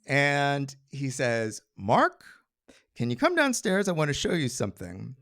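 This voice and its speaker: A man telling a personal story on stage in an animated, conversational way with comic timing. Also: medium pitch, a little slow, gravelly. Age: adult.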